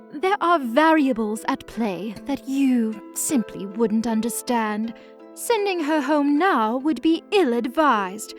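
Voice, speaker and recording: Woman doing a haughty hot-tempered goddess, highish forceful voice, music behind, audio drama. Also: lively intonation, slow. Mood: haughty, stern. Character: imperious, icy, hot-tempered goddess princess.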